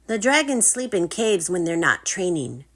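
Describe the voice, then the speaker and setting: A woman's voice: lowish voice, sing-song, moderate pace, fairly bright tone, voice pushed up. A woman voicing a polite, sincere and helpful young boy in a children's storybook reading.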